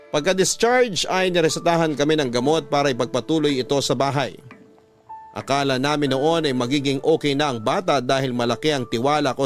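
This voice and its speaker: A man with a Filipino accent narrating a scripted first-person story in classic radio storytelling style. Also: a little high. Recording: music under the voice.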